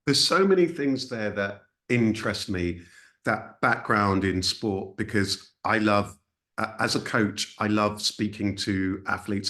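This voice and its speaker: A man's voice: on the low side, fairly bright tone. A man hosting an interview as a coach, asking questions in an encouraging way and murmuring along as he listens.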